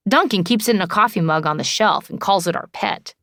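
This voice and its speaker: A woman's voice: mid-range voice, a little fast, fairly bright tone, dry recording, studio quality, natural voice. A woman voicing an easygoing, boyish young man, earnest and a little goofy, in audiobook dialogue.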